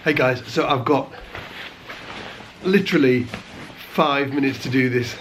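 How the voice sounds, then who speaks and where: A man's voice: medium pitch, a little slow, noisy background. Chatty British man losing his voice. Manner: chatty, self-correcting, explaining as he goes. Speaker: bass teacher and vlogger. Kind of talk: casual vlog talk to camera.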